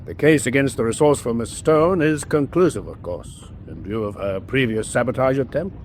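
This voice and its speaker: An actor playing a coolly persuasive, ruthless adviser aboard a U-boat in a radio drama, formal and insinuating. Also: male voice, medium pitch, moderate pace, darkish tone, slight background noise, close to an everyday voice.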